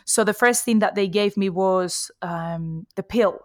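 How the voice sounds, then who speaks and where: A woman's voice: mid-range voice, unhurried, darkish tone, dry recording, clean studio sound. A woman in her forties from Colombia, a native Spanish speaker with a mixed Colombian and Spanish-tinged accent, chatting as a podcast guest and laughing often. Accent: mixed Colombian and Spanish-influenced English. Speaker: guest, nutritional therapist. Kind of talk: casual podcast conversation.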